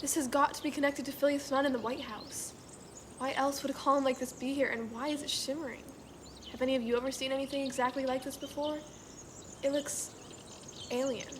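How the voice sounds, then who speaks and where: A young woman playing a bold, intrigued high school girl in an audio drama, earnest and speculative. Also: steady tone, fairly quick, close to an everyday voice. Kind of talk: naturalistic audio drama acting. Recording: noisy outdoor recording with insects. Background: insects, birds.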